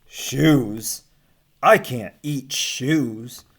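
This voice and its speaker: A man voicing a grumbling, hungry giant in a storybook, loud and slow. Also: medium pitch, slightly rough, heavily acted. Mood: grumbling, threatening.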